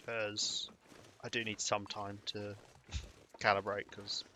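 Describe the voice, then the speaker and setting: A man's voice: fairly low pitch, flat delivery, slow, pushed and bright, a little hiss or hum. A young British man chatting casually while streaming a game, rambling and chuckling between remarks. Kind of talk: live gaming commentary.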